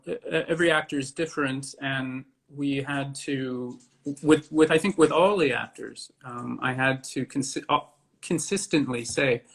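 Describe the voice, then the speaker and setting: A man giving casual interview answers as a theatre director, halting and deliberate in short phrases. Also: medium pitch, creaky, a bit bright.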